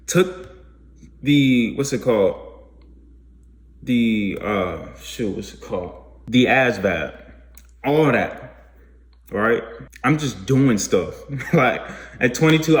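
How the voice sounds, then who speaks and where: Young man musing aloud in an echoey room. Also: fairly low pitch. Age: 20s. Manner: rambling, self-questioning, many restarts. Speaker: vlogger. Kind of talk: reflective casual monologue.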